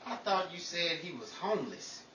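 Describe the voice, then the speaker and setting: A man's voice: sits high, sing-song, normal speed, pushed and bright, full character voice. A man playing a skeptical, wisecracking bachelor friend with sardonic, incredulous quips, in a 1940s radio comedy style. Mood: needling. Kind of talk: 1940s old-time radio comedy acting. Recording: echoey, distant, noisy crowded recording.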